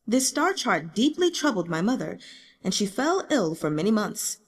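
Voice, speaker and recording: A woman's voice: mid-range voice, sing-song, moderate pace, long reverb tail. A woman voicing a self-mocking, burdened young emperor in an audiobook, formal and solemn, with regal phrasing. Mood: grave, confiding. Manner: formal, solemn, speaks in the royal we. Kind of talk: audiobook character dialogue.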